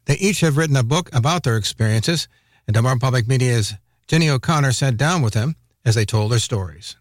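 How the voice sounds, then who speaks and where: A male radio host reads the segment introduction in an even, formal announcing voice. His pitch is middling and the studio sound is dry. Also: brisk, no room sound. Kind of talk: scripted news introduction.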